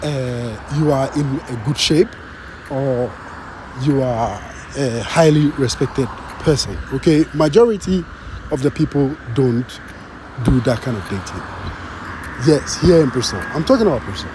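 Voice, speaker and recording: A man's voice: mid-range voice, a little slow. Guy from ghana vlogging outside, voice jumps up and down a lot, cars and street noise behind him. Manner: animated, repeats "you understand", many fillers. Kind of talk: casual talk to camera.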